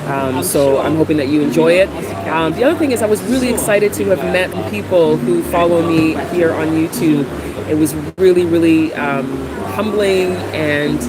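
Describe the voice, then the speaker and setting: A woman talking casually to camera as a vlogger. She has a cold and fills her speech with ums and restarts. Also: low-pitched. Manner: chatty, many ums and restarts. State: has a cold, occasional cough. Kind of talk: casual vlog talk to camera. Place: Ghana, with outdoor and traffic noise. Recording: loud background noise.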